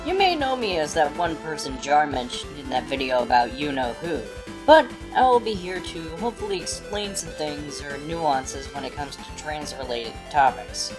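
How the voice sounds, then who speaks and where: A woman's voice: deep voice, sing-song, normal speed, music in the background, poor audio. A woman speaking as a guest commentator in a video, giving scripted commentary.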